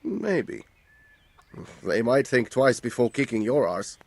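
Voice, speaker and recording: Grumbling medieval tanner, close and dry. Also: male voice, medium pitch, big pitch swings, takes it slowly, gravelly, no echo, heavily acted. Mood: doubtful, wry. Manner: bitter, colourful complaining. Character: grumbling, put-upon medieval village tanner. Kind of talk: video game dialogue acting.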